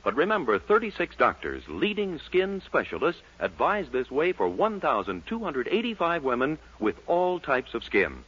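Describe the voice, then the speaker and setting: Bright, emphatic old-time radio announcer man. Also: on the high side, wildly swooping pitch, normal speed, hardly any pauses, husky. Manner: emphatic, polished salesmanship. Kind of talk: 1940s radio announcing and ad reading, scripted. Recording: dull 1940s radio broadcast recording.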